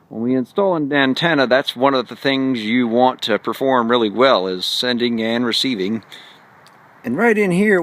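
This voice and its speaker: A man with a middle-pitched voice talks through a hands-on demonstration in a casual vlogger style. His delivery is halting, with frequent pauses in the middle of sentences. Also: a bit bright. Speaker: vlogger showing a radio install.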